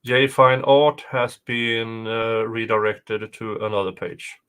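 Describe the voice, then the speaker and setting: Very slow-talking man with bright voice. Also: on the low side, level tone, smooth. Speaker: co-host. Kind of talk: casual chat.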